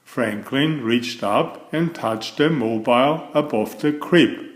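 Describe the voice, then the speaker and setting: A man narrating a children's story in an expressive, deliberate way for young listeners. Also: medium pitch, echoey room. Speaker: storybook reader and children's author.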